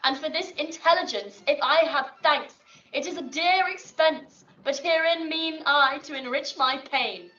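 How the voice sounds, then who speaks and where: High, bright, lovesick young woman. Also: unhurried. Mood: scheming, pained. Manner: theatrical, emotive soliloquy delivery. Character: lovesick, bitter young Athenian woman pining for Demetrius. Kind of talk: classical audio drama acting, Shakespearean verse. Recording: roomy, distant, dull playback with music.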